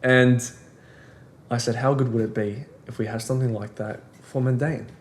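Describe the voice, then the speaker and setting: A man at an ordinary middle pitch chats in a relaxed, agreeable way, his sentences often fading out softly. The room around him rings with a clear echo. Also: moderate pace, slight background noise. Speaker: podcast co-host. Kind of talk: casual podcast discussion. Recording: echoey room.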